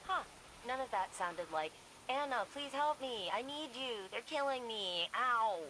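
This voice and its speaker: A woman's voice: on the high side, animated, a little slow, a little hiss or hum, poor audio. A woman playing a driven, wry young engineer in a video game, casual and dry in her delivery. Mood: mocking. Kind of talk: naturalistic video game dialogue. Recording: loud music behind the voice.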